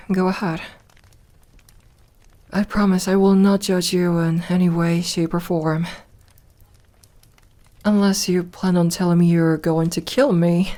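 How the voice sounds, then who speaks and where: A woman voicing a lonely, guarded yet tender immortal vampire girl in an intimate ASMR roleplay, speaking softly and haltingly. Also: lowish voice. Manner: soft, hesitant, halting delivery with sighs.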